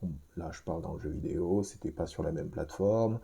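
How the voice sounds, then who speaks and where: Low gravelly man in his fifties. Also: fairly quick, dark tone. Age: 50s. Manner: rambling, hesitant with many restarts and fillers; addresses listeners directly. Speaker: podcast host. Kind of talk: film review podcast.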